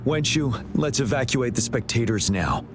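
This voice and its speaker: A man voicing a calm, authoritative and dutiful military commander in a video game. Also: mid-range voice, normal speed, lightly acted. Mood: composed, commanding. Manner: composed, formal, commanding. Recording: music behind the voice. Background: background music.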